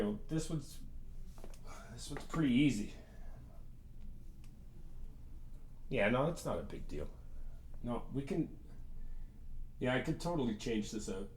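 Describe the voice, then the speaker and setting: A man's voice: mid-range voice, a little mellow, slightly rough. A man playing an easygoing, helpful friend in an ASMR roleplay, talking in a casual, reassuring, conversational way. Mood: mellow, thoughtful. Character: easygoing, helpful male friend helping someone move in.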